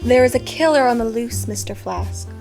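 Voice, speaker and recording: A woman's voice: a little high, normal speed, gravelly, darkish tone. A woman playing an imperious, wealthy femme fatale in a noir radio play, speaking in formal, composed phrases. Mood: cool, commanding. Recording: music bed under voice, amateur audio.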